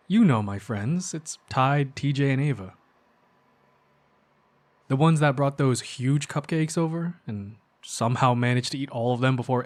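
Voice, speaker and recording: An earnest young male best friend played by a man in an ASMR roleplay. He speaks at an average male pitch with casual, hesitant phrasing, in an intimate, soft-hearted way, as if to one close listener. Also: close to an everyday voice. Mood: chatty, upbeat.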